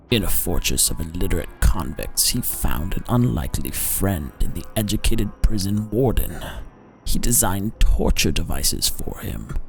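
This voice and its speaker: A man reading a dark tale as a dramatic narrator, theatrical and deliberate, leaning on words for emphasis. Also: low-pitched, creaky. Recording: music behind the voice. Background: background music.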